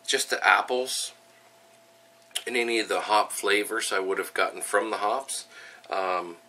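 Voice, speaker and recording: A man's voice: deep voice, bright, forceful tone, recorded from a distance. Middle-aged man with a stuffy nose. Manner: chatty, upbeat, with frequent ums and uhs. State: stuffy nose from a head cold. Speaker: home brewing vlogger. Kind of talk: casual how-to and tasting vlog. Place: home kitchen, then small room at home.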